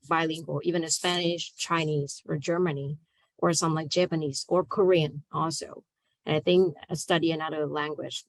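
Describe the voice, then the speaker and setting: A young woman from Thailand, a native Thai speaker, speaking English with a Thai accent on a career panel, halting and filling with "like". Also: deep voice, no echo, poor audio. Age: young adult. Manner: halting, frequent "like" fillers. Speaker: panelist, recent graduate. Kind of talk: casual panel discussion.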